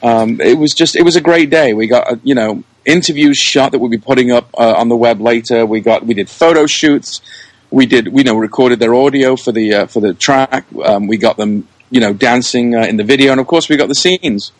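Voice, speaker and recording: A man giving a casual podcast interview as the guest. His voice sits at a middling pitch with very little room sound, and he talks effusively, often doubling back on his words. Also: brisk, no room sound. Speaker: interview guest, producer, director and actor.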